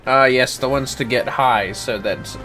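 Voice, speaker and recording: A man's voice: mid-range voice, steady tone, measured pace, bright, forceful tone, faint music behind. A man livestreaming a game, chatting casually with crude, joking banter. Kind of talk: casual gaming banter while reading dialogue.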